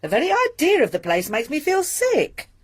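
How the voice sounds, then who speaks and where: A woman's voice: sits high, big pitch swings, moderate pace, husky, full character voice. An actress playing a prim, anxious, middle-aged landlady in a radio drama, easily flustered. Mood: prim disapproval.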